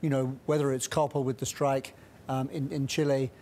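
A middle-aged man speaking as a guest strategist in a TV studio interview on a lapel mic, brisk, with frequent restarts and fillers. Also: medium pitch, flat delivery, warm tone, slight background noise.

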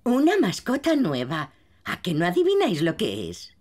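A woman's voice: on the low side, wildly swooping pitch, moderate pace, a little mellow, a little hiss or hum. A woman voicing a kindly, doting old grandmother pig in an exclamatory cartoon dub. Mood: doting, cheerful.

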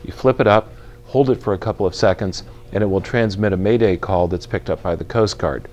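A man in his fifties, filmed in a large indoor store with a lapel mic, explaining equipment to camera in a clear, measured, practical way. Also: lowish voice, a little mellow, dull audio. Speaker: retail company spokesperson presenting boating gear.

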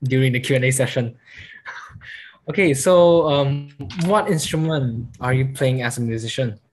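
A man's voice: medium pitch, a little slow, slight background noise. Eager young man hosting a video call. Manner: eager, frequent wow, loose questions with fillers. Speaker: host. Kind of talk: casual interview over video call.

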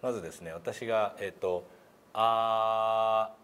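A man's voice: on the low side, steady tone, slow pace, fairly bright tone. A middle-aged man giving casual, polite pronunciation instruction in a meeting room, speaking hesitantly and demonstrating sounds. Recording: clear background room noise.

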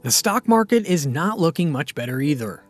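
A man reading a scripted finance explainer narration in an even, steady, explanatory way. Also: a little high, normal speed, soft background music.

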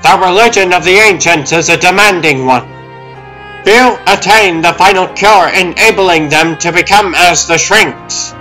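A dramatic comic-book narrator, played by a man, with a slightly high, bright voice. The delivery is formal and scripted, over a music bed. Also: measured pace, rough recording. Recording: music under the voice.

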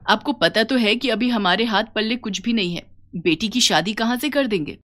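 A woman's voice: on the high side, normal speed, a bit bright, lightly acted. A woman voicing a worried village wife in a cartoon, questioning in a reproachful manner.

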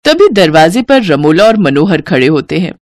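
A woman's voice: fairly low pitch, very animated, moderate pace, darkish tone, dry recording. A woman reading scripted narration for a cartoon family story.

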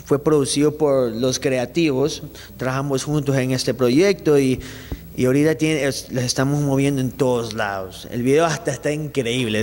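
A man's voice: mid-range voice, long reverb tail. A young man from Ecuador, a singer, chatting animatedly in a studio interview into a handheld mic, often restarting his sentences. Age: young adult. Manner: animated, gestures with his hands, many restarts. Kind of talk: casual interview chat. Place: small studio set, indoors. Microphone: handheld mic held by the interviewer.

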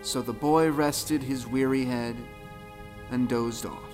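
A man narrating a folktale audio play with dramatic, expressive storytelling. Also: mid-range voice, takes it slowly, lightly acted. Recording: long reverb, music underneath.

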